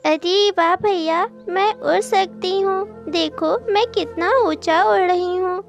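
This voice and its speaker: A woman's voice: very high voice, steady tone, slow pace, gravelly, heavily acted. A woman voicing a small, earnest, kind young songbird in a children's cartoon. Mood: delighted. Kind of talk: children's cartoon dubbing in Hindi. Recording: music behind the voice.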